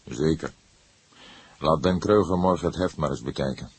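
A man voicing an older grey-haired police detective in an audiobook, calm, wry and disapproving, speaking in an unhurried, lecturing way. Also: extremely low pitch, a little hiss or hum. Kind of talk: audiobook character voice.

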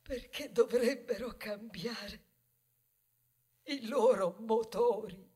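An actress playing a tender, grieving mother corn cob in a poetic theatrical monologue, moving between hushed and impassioned delivery. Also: fairly high pitch, slightly rough. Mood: hushed grief. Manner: slow dramatic delivery, swinging from hushed to impassioned. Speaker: stage actress.

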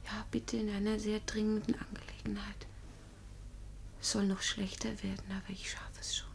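An actress in a German radio drama playing a fragile, desperate young jilted woman, halting and often hushed to a whisper. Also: mid-range voice, level tone, creaky, close to an everyday voice. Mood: weak, fading.

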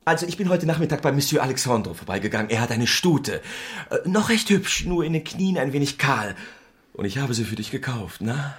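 A man's voice: mid-range voice, sing-song, a little fast, close to an everyday voice. An actor playing a well-meaning, unsuspecting husband in a radio play, eager and slightly bumbling as he seeks approval. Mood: eager, hopeful. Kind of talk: literary radio play acting.

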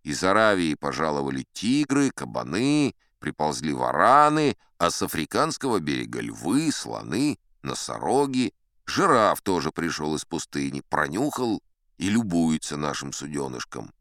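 An actor playing a genial old sea captain, spinning tall yarns in a folksy, wry, conversational way for a dramatised audiobook. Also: male voice, a bass voice, big pitch swings, moderate pace, fairly bright tone, no echo, studio quality, heavily acted. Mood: wry, relishing.

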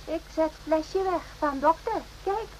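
An actress playing a meek, deferential nurse in a radio drama, speaking halting broken sentences in few words. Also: female voice, extremely high pitch, a little hiss or hum, rough recording. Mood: meek, hesitant.

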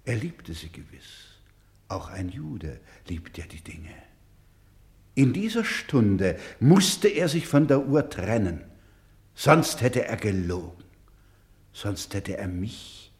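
A man acting a solemn, proud storyteller in a radio play, speaking gravely and deliberately, with emphatic swells and hushed drops. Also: lowish voice, full character voice. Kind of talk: slow, dramatic radio-play narration.

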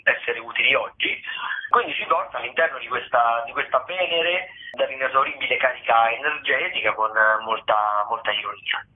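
A man giving a casual radio rundown as a theatre critic, wordy and halting with repetitions. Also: mid-range voice. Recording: tinny phone line.

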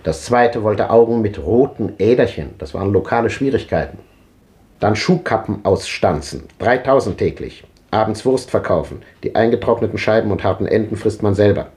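A man's voice: fairly low pitch, lively intonation, normal speed, darkish tone, lightly acted. An actor playing a restless, brooding old man in a German radio drama of the 1950s, musing in clipped fragments. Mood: wry, recollecting. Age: older. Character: restless, brooding old man recalling rough odd jobs.

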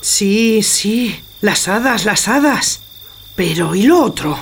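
A woman's voice: mid-range voice, expressive, slow pace, gravelly, a little hiss or hum. An actress playing a loyal, fretful housemaid, earnest and deferential to her master in a theatrical audio drama. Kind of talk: theatrical radio drama acting.

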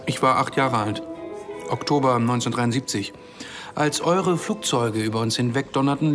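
An actor playing a dryly ironic man in his early forties, telling his story in a measured way in a German radio drama. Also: mid-range voice, lightly acted. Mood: wistful. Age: 40s.

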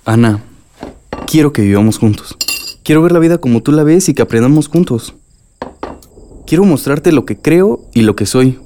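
An actor playing a nervous, romantic suitor in a radio soap, earnest and hesitant, with a Mexican accent. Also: male voice, a little high, lively intonation, moderate pace, pauses often, warm tone, a little hiss or hum, natural voice. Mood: tender, earnest. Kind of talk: radio soap opera acting.

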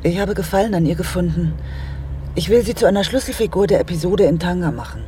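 A woman's voice: fairly low pitch, lively intonation, fast, warm tone, a little hiss or hum, close to an everyday voice. An actress playing a teasing, self-assured woman writer and manipulative lover in a German radio play, intimate and coaxing. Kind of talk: German radio drama dialogue. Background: music.

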